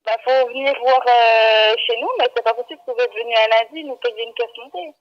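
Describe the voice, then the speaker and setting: A woman with a high voice takes an unscripted customer call as a shop employee. She is blunt and a bit flustered, often repeating herself. The phone line makes her sound dull and muffled. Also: fairly even intonation, brisk. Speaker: bakery employee. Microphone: telephone.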